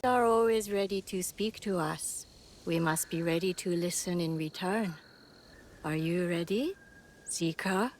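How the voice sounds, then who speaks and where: A woman voicing a cryptic shrine figure who speaks quietly and ominously. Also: fairly low pitch, a little slow, a little hiss or hum, close to an everyday voice. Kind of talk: video game cutscene acting.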